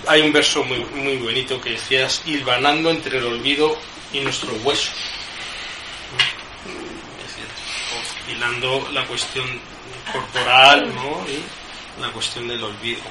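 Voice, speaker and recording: A man, himself a poet, musing in a halting, self-deprecating way while posing questions to a fellow poet. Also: mid-range voice, steady tone. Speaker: poet, interviewer. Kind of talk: literary conversation. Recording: echoey room, noisy background.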